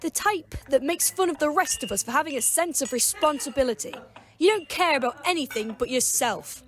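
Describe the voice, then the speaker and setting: A woman's voice: sits high, lively intonation, brisk, slight background noise. A woman acting a prickly, defensive young solicitor who works behind a bar, in an amateur audio drama, curt and indignant. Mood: angry, accusing. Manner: curt, indignant, sharp-tongued.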